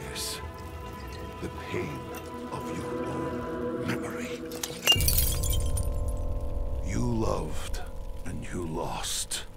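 An actor playing a sinister, regretful mastermind in a video game, speaking in a precise, formal and theatrical way. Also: male voice, lowish voice, creaky, heavily acted. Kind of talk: dramatic video game cutscene acting. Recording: game audio under heavy music.

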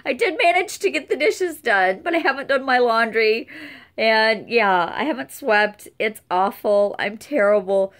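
A middle-aged woman giving a casual update from home, tired and a little hoarse, chatting with many fillers. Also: a little high. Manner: chatty, unhurried, lots of fillers. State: tired, losing her voice. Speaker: channel host. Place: cluttered room at home. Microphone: webcam close up.

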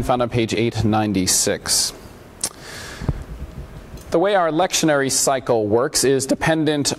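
A man in his thirties, a pastor with a scratchy voice, preaching and reading scripture in a measured, deliberate way. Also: mid-range voice. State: scratchy voice from allergies. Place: church sanctuary at a pulpit. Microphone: lapel mic.

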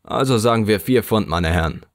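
A man's voice: fairly low pitch, brisk, dry recording, clean studio sound, close to an everyday voice. A man voicing a poor working man who pleads and haggles over a price in an audiobook. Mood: wheedling. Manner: wheedling, complaining.

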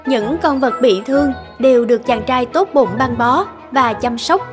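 A woman's voice: sits high, moderate pace, music in the background. A woman narrator reading a scripted fairy tale as a storyteller.

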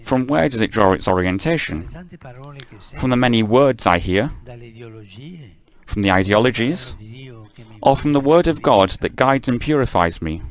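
A man giving a live spoken translation of a homily, measured, with frequent stumbles and self-corrections. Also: mid-range voice, expressive, poor audio.

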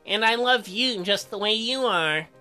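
A man's voice: sits high, unhurried, pushed and bright, soft background music, heavily acted. A man voicing an earnest, bashful young farmer husband from a dating game, hesitant and awkward.

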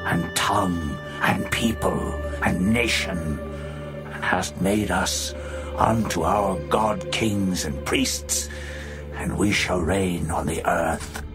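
A man voicing the elders before the throne, grave and measured over music. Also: low voice. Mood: reverent.

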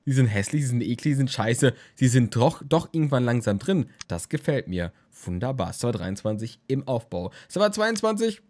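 A man's voice: medium pitch, big pitch swings, normal speed, few pauses, darkish tone. Sleepy young male let's-player, rambling and jokey, casual game commentary at a home desk mic. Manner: rambling, jokey, crude humor. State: tired, just woke from a nap. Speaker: let's play host.

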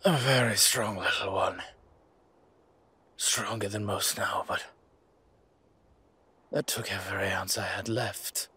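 A man playing an ancient, weakened vampire father in an audio roleplay, breathy and raspy, speaking in a halting, protective way. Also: lowish voice, fairly even intonation, sharp tone, heavily acted. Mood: exhausted, wry.

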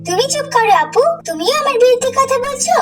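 A woman's voice: very high voice, lively intonation, fast, far from the mic, over loud music, pitched above the performer's own voice. A woman voicing a resentful nine-year-old girl in a cartoon, whiny and accusing. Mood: indignant.

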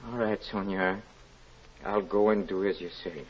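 An actor playing a proud, guilt-ridden, impoverished young murderer in an old-time radio drama, halting and overwrought. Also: male voice, deep voice, steady tone. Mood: humbled, penitent. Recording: muffled old radio recording.

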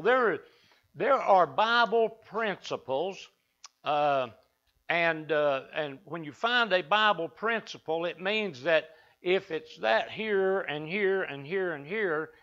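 An older Appalachian man preaches in a down-home way, now and then checking back with the pews. His voice is bright and fairly high. The sound is dull and lo-fi, picked up by a lectern mic in a church sanctuary. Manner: down-home, talks to the congregation and asks them back. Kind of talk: folksy evening sermon. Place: wood-panelled church sanctuary. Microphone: lectern mic from a far camera.

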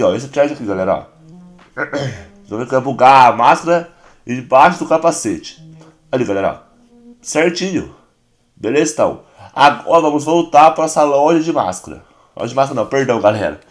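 A Brazilian man with a hoarse, congested voice, chatting casually through a game tutorial as a YouTuber. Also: medium pitch. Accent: Brazilian Portuguese. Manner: relaxed, chatty, constant filler address to viewers. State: hoarse, stuffy nose and cough. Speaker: gaming YouTuber.

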